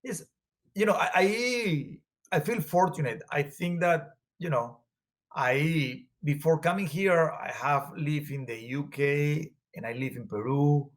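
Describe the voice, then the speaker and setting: A man with a Venezuelan Spanish accent talks as a guest in a conversation. His voice sits fairly high, and he speaks earnestly, pausing and restarting with fillers as he looks for words. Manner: earnest, halting, with frequent fillers. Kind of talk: conversational interview.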